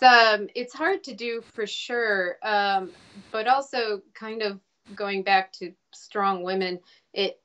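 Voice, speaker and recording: Woman, American, forties, halting and thoughtful, webcam call from home. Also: mid-range voice, a bit bright, lo-fi sound. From United States. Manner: thoughtful, halting with many restarts. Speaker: author guest. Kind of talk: casual live video chat. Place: home room with a shelf behind. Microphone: webcam on a video call.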